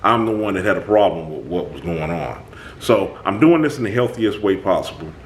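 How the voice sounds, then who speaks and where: A man hosting his own channel, talking through a personal conflict in an emphatic, animated way. His voice is booming, and he often restarts his sentences. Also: brisk, a little hiss or hum. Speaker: channel host. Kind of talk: reflective self-talk monologue.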